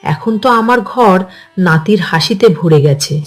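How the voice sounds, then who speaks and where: A woman's voice: lowish voice, lively intonation, moderate pace, faint music behind. A woman voicing a gossipy, scheming older grandmother in a cartoon story, with a chatty, pushy, persuasive manner. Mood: proud, gleeful. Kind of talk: Bengali cartoon story dialogue.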